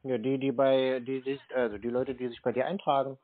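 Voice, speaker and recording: A man's voice: mid-range voice, little pitch movement, brisk. A man chatting casually on a call, needling the other person in a laconic, unruffled way. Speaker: scambaiter. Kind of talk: casual argumentative phone chat. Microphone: phone call recording. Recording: narrowband phone sound with noise, clicks.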